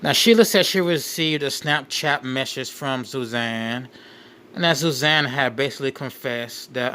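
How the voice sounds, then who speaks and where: A man hosting a show, reading a document aloud with off-the-cuff commentary in a halting way, repeating and rephrasing as he goes. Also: mid-range voice, bright, forceful tone, slight background noise. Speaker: late night show host.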